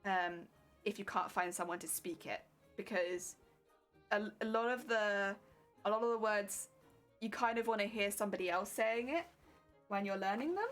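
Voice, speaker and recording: A young woman with a southern English accent chatting with her live viewers while sewing, self-mocking and quick to laugh. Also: mid-range voice, a bit bright, faint music behind. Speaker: streamer.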